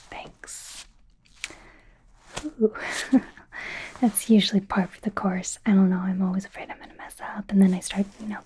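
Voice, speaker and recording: A woman playing an anxious, apologetic young werewolf medical student in a soft, hesitant ASMR roleplay whisper. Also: medium pitch, husky. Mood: nervous, self-doubting. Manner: hesitant, halting, nervous.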